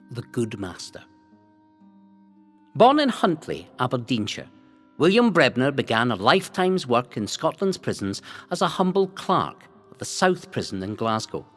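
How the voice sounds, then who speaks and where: A man narrating a scripted historical documentary in a measured, formal way with dramatic phrasing. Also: mid-range voice. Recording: music behind the narration. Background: music.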